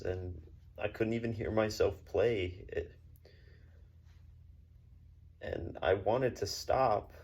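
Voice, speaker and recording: A man playing a shaken, earnest orchestra musician who speaks haltingly and stammers in a mock newsreel interview. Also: fairly low pitch, a little mellow. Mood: uneasy. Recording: faint background noise.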